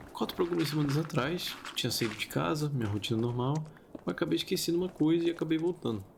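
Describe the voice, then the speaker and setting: A man's voice: medium pitch, gravelly, a little mellow, slight background noise. A man with a Brazilian accent casually telling stories in an informal, chatty way, with conversational asides. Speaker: host of a story-reading video channel. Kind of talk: casual chatty commentary and storytelling.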